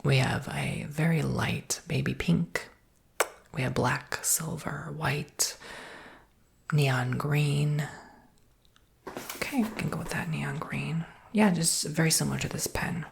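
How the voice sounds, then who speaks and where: A woman whispering in a breathy voice with a creaky undertone, giving casual, reassuring sales patter as an ASMR roleplay. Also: low voice, slow, pauses often, a little hiss or hum.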